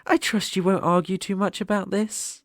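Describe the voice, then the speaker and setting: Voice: medium pitch, steady tone, moderate pace, no room sound, clean studio sound, lightly acted. A British-accented performer voicing a sadistic, possessive demon ruler in a scripted ASMR roleplay, with a mocking, haughty, theatrical manner. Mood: possessive, commanding.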